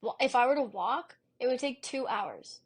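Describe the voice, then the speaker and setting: A young woman co-host in an easygoing chat, her pitch a little above average. She rambles, packs in fillers, and gets animated as she explains things. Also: moderate pace, slightly rough. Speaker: podcast co-host. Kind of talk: casual chat.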